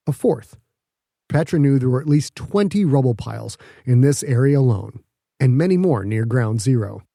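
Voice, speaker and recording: Mellow man narrating fiction, close and dry. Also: medium pitch, creaky, no room sound. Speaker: audiobook narrator.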